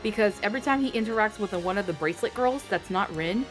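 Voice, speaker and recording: Young female co-host: mid-pitched, chatty, hesitant, filler-heavy. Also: expressive, quick pace, music in the background, low-quality recording. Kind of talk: casual chat ranking anime couples.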